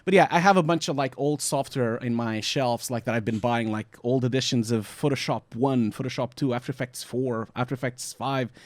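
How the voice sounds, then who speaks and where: A man's voice: medium pitch, brisk, few pauses, no room sound. A man in his forties with a Portuguese accent, chatting as a podcast co-host in an informal, rambling, joking way. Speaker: podcast co-host, visual effects supervisor. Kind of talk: casual podcast chat.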